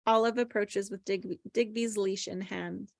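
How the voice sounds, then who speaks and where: Casting: woman stage-directions reader, creaky fry, dry close sound, scripted table-read narration. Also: medium pitch, steady tone, unhurried, no room sound.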